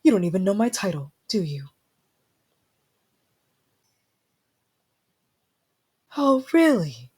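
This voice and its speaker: Casting: an actor as a proud young fantasy prince of frost, tsundere temper, husky mid-pitched voice, formal and prickly. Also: big pitch swings, brisk, darkish tone. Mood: haughty, cold. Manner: indignant, formal, dramatic sighing delivery. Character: young haughty, easily flustered ice prince, tsundere temper. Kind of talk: fantasy audio roleplay acting.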